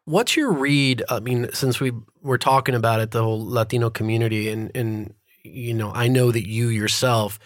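A man's voice: medium pitch, fairly even intonation, normal speed, no room sound, studio quality. A man hosting an interview podcast, talking informally and building up long, conversational questions. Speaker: podcast host.

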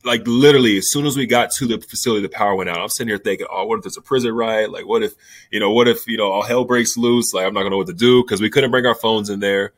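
A man's voice: lowish voice, fast talker, no room sound. A man in his twenties, a pro basketball player, giving candid interview answers in a laid-back, rambling way full of fillers. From New York.

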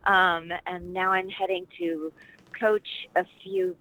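A woman's voice: on the low side, level tone, slow pace, pushed and bright, low-quality recording. Reflective middle-aged woman telling stories. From Washington, D.C. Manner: reflective, rambling storytelling with fillers. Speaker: actress and dialect coach, interview guest. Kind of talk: casual interview conversation.

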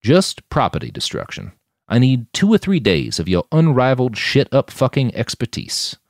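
Sly, lively mercenary boss played by a man. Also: mid-range voice, normal speed, gravelly, dry recording, clean studio sound, pitched above the performer's own voice. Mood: persuasive. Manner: scoffing, blunt, persuasive. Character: sly, cynical, foul-mouthed male mercenary boss, long-lived. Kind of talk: audiobook character dialogue.

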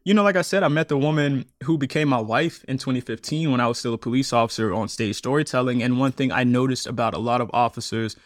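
A man in his thirties, a podcast guest, telling his story in a conversational interview with quick laughs. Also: medium pitch, dry recording, clean studio sound.

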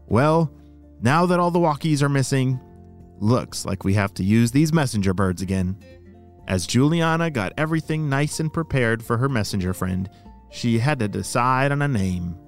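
A man's voice: medium pitch, expressive, moderate pace, soft background music. A man hosting a children's story podcast, narrating and explaining things simply to young listeners.

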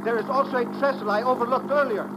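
A man's voice: extremely high pitch, fairly even intonation, fast. A man playing a worried railway helper in a 1950s radio drama, on a noisy old recording with an engine roaring behind him. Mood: anxious, urgent.

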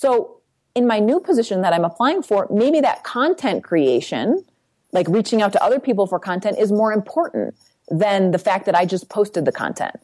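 A woman coaching on resumes in an interview, brisk and practical, often checking in with "right?". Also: mid-range voice, big pitch swings, a little mellow. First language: English. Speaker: guest career coach. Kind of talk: advice interview.

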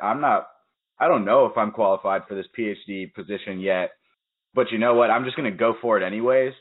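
Casting: young male podcast host, lowish voice, lively storyteller, phone-quality audio. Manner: energetic, storytelling with quoted asides. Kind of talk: casual interview chat. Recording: tinny phone-like call audio.

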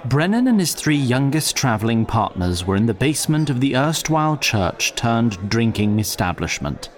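A man's voice: mid-range voice, big pitch swings, normal speed, natural voice. A man playing a wry, theatrical narrator of a fantasy audio drama, formal and dramatic in his phrasing.

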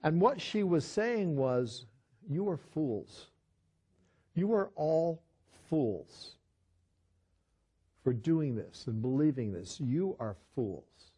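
A man's voice: a little high, animated, takes it slowly, long pauses, mellow, slightly rough. An older man, a pastor, teaching a Bible study in a conversational sermon style, telling a story earnestly with frequent restarts.

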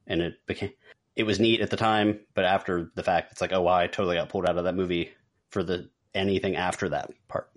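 A man's voice: low-pitched, steady tone, fast. A man co-hosting a podcast, talking in a casual, conversational style. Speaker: podcast host. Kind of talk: casual chat about movies and games.

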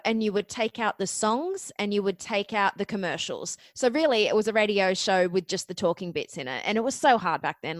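A woman in her forties with an Australian accent, chatting as a podcast guest and telling her story in a quick, lively way. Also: mid-range voice, no room sound. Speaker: podcast guest, media producer. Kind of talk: casual interview chat.

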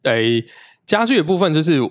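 A man's voice: on the high side, big pitch swings, moderate pace, dry recording. Brief: guest, man in his thirties, dry close recording, rambling explanations. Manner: explains at length with frequent restarts and fillers. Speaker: podcast guest. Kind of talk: casual conversational interview.

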